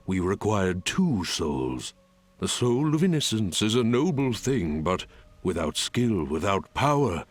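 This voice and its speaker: Deep, husky old elder. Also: male voice, full character voice. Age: older. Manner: grave, measured, theatrical. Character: solemn, wise old male reptilian village elder. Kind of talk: video game character acting.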